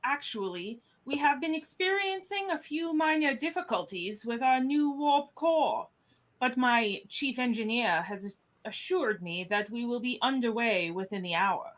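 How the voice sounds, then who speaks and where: A woman's voice: a little high, expressive. A woman playing a dignified, commanding starship captain in a radio play. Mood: composed. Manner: deliberate, commanding. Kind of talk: radio play live read.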